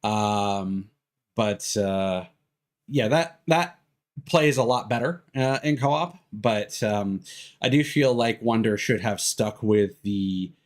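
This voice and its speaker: A man's voice: fairly low pitch, slow pace. A man giving casual, rambling gameplay commentary as a streamer, hesitant and drifting into tangents. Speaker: video game streamer. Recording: music behind the voice.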